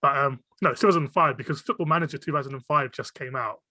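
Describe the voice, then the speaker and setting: A man in his twenties hosts a relaxed podcast chat. His was Cantonese. His voice is bright and forward, and it sounds dry and close to the mic. He laughs often and stumbles over repeated words as he goes. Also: mid-range voice, fast talker, no echo. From United Kingdom. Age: 20s. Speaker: podcast host. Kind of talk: casual interview chat.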